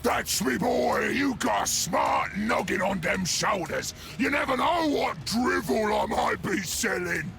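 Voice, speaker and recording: A man voicing a sly, jovial ork salesman in a video game, with a raspy Cockney English voice and a wheedling manner. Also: high-pitched, sing-song, a little slow, hardly any pauses, shouting, over music, heavily acted. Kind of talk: video game character acting.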